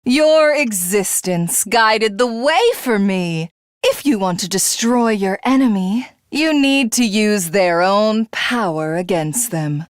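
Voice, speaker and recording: A woman's voice: mid-range voice, animated, slow pace, no echo, clean studio sound. A woman playing a haughty, megalomaniac female villain in a video game, grandly savouring each phrase as she gloats. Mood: smug gloating.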